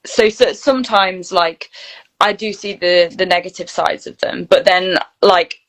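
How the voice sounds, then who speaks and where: A woman's voice: lowish voice, a little slow, fairly bright tone. A British woman chatting informally and emphatically as a guest in an interview. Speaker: guest, voiceover actor and voice reel studio founder. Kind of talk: casual interview chat.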